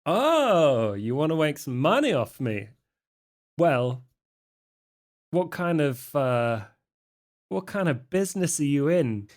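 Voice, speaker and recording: A man's voice: a little high, sing-song, slow, long pauses. A man voicing a cheeky, easygoing London hustler in a tabletop game, chummy and charming, with a London accent. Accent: London English.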